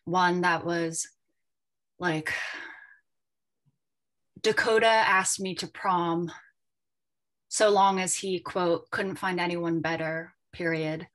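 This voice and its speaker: Reflective woman with a slightly low voice. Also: fairly even intonation, slow pace, pauses often, a bit bright. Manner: reflective, informal, tentative phrasing. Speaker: editor, panel guest at a literary festival. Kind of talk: thoughtful conversational panel talk.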